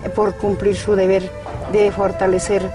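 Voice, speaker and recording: A man's voice: high voice, completely flat delivery, unhurried. An actor playing a solemn man mourning dead workers in a radio drama. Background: music.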